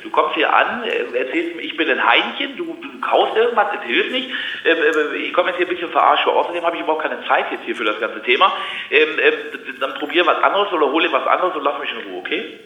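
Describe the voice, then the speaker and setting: A man's voice: on the high side, lively intonation, fast talker, roomy sound, dull audio. A man arguing on a call in a blunt, confrontational, stammering way. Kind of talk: annoyed phone argument.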